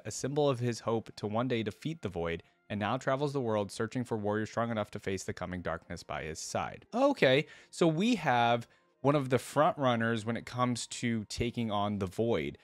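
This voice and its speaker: Low-pitched man, casual reaction host. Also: no echo. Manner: informal, thinking aloud between read passages. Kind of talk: casual reaction commentary mixed with reading aloud.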